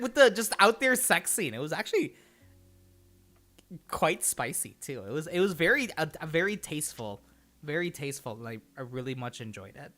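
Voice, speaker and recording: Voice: medium pitch, pushed and bright. A livestream host chatting casually and rambling from thought to thought, with an easy habit of laughing and swearing.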